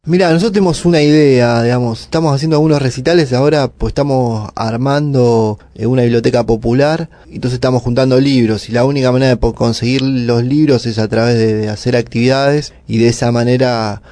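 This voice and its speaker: A man with a Buenos Aires area accent giving informal interview answers as a community organizer, explaining haltingly with many fillers. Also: medium pitch, fairly even intonation, warm tone, no room sound. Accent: Argentine, Buenos Aires area.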